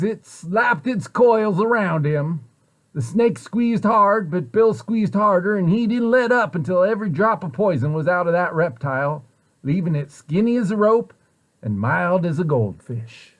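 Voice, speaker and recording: Higher-voiced man reading a children's story. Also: lively intonation, a little slow. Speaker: storybook reader, site director at a children's center. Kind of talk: expressive children's read-aloud storytelling.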